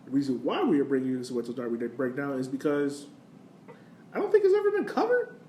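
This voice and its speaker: A man's voice: medium pitch, huge pitch swings, quick pace, dark tone. An American man hosting a casual sports podcast, chatting informally with plenty of slang. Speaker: podcast host. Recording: roomy echo, background noise.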